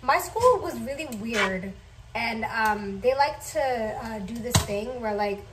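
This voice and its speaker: A woman's voice: a little high, animated, slow pace. A young woman chatting casually while she cooks in a home kitchen, rambling with lots of fillers. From Somali family. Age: young adult. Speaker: vlogger. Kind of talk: casual cooking vlog chat. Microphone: camera on counter, distant. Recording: distant camera mic, noisy.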